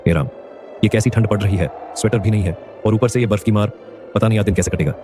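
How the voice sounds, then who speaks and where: An actor voicing a poor young laborer in an animated story, earnest and pleading. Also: male voice, lowish voice, fast, music in the background, natural voice. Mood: shivering.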